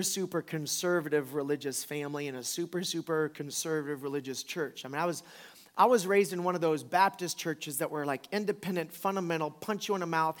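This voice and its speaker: A man in his forties from the American South, a pastor giving an informal, conversational sermon with emphatic repetition. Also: on the high side. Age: 40s. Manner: informal, emphatic, repeats phrases for effect.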